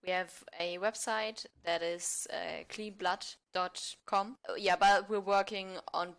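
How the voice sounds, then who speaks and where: A woman speaking as a podcast guest, improvising role-play and mock pitches in an amateur theatre manner. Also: fairly even intonation, slow, gravelly, fairly bright tone.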